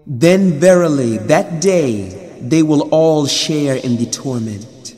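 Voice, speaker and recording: A man reads scripture in a solemn, measured way, very slowly. His voice sits slightly high and is bathed in long reverb. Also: lively intonation, mellow, faint music behind. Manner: measured, deliberate phrasing. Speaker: reader of a scripture translation. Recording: long cavernous studio reverb.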